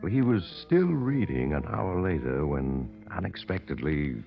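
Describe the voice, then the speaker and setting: An actor narrating a 1940s radio thriller as a storyteller, with scripted, suspenseful delivery. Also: male voice, deep voice, sing-song, a little slow, vocal fry, exaggerated voice. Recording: dull vintage radio recording with music.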